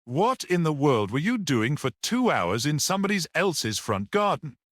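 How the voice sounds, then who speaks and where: A man's voice: a little high, big pitch swings, moderate pace, a bit bright, dry recording, studio quality, voice pushed up. A man voicing a gaunt male prosecuting barrister in an audiobook, incredulous and insistent in his questioning.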